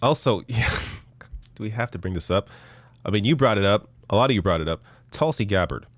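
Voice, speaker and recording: A man in his thirties, hoarse from losing his voice, hosting a casual live political stream with blunt, profane commentary and frequent restarts. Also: lowish voice, lively intonation, a little fast, dry recording. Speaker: political show host reading viewer chat.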